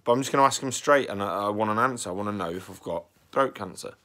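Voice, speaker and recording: A young man with a fairly low voice talks through his worries in a casual vlog. He sounds bunged up and a bit raspy from a cold, and clears his throat now and then as he rambles anxiously. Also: fast talker, bright, forceful tone. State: congested and slightly raspy from a cold. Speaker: vlogger.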